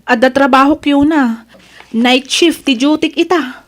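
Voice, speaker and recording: An actress playing a supportive young Ilocano friend in a radio drama, conversational and given to exclaiming. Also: female voice, high voice, measured pace. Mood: resigned. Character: supportive young woman, the heroine's sympathetic friend.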